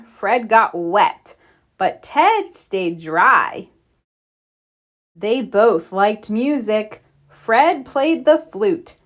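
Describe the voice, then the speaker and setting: Slow, sing-song woman reading a children's story. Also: on the high side. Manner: deliberate, expressive storytelling for young children. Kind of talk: bedtime picture-book read-aloud.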